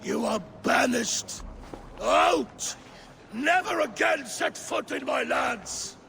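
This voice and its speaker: A man's voice: extremely high pitch, takes it slowly, creaky, sharp tone, a little hiss or hum, exaggerated voice. An actor playing a proud, paranoid, raging Viking king in a video game, declaiming with bombast. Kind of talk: dramatic video game acting.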